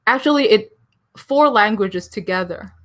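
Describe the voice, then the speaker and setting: A young woman chatting as a podcast guest, talkative and quick to laugh. She rambles a little and keeps things light and conversational. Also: mid-range voice, lively intonation, fairly bright tone. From Philippines and Taiwan. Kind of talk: casual interview chat.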